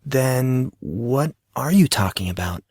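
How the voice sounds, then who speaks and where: A man's voice: medium pitch, expressive, slow, darkish tone, breathy edge, no room sound, studio quality, voice pushed up, full character voice. A man voicing an awkward, apologetic fourteen-year-old schoolboy who is easily flustered, in an audio story. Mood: bewildered. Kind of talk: audio drama character dialogue.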